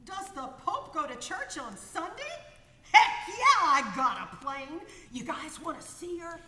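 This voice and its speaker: A woman's voice: very high-pitched, sing-song, unhurried, sharp tone, exaggerated voice. An actress playing a brash, good-humored 1930s woman aviator with breezy, slangy talk in a radio play. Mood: exuberant. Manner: breezy slangy talk, calls people kiddo. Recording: echoey hall, faint background noise.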